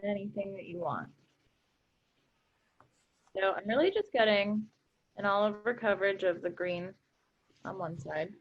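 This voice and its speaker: A woman's voice: medium pitch, a little slow, long pauses, muffled recording. A young woman presenting a workshop, cheerfully explaining each craft step as she works. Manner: upbeat, explains steps while working. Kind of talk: instructional craft demonstration.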